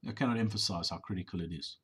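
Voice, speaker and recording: Husky low-voiced man explaining footage. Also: fairly bright tone. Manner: measured, explanatory, with some repeated words. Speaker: business owner. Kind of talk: voice-over commentary on drive-thru footage.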